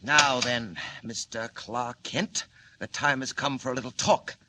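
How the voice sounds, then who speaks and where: Cunning gangster boss, old radio drama, fake polite menace, sharp voice, bit of hiss. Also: male voice, mid-range voice. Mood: gloating menace. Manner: theatrical, mock-courteous, deliberate menace. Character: cunning, menacing gang boss called the Wolf. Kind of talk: 1940s radio serial acting. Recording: noisy old radio recording.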